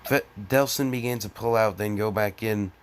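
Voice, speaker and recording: Male comic reader, lowish voice, sarcastic and theatrically exasperated, crude asides. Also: moderate pace, clear, resonant tone. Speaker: comedic fan fiction reader. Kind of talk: mocking dramatic reading with exasperated ad-libbed commentary.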